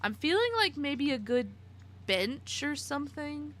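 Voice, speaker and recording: A woman's voice: high voice, very animated, unhurried, a bit bright. A woman in her late twenties chatting casually on a live stream while she plays, giggly and talkative, answering her chat by name. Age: 20s.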